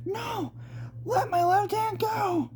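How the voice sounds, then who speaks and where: A narrator puts on an injured girl from a horror manga, protesting in a very high, pained voice. It is an amateur reading with light noise behind it. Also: takes it slowly, darkish tone. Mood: pained, panicked. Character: injured young girl, squirming and protesting in pain. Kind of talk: amateur manga read-aloud.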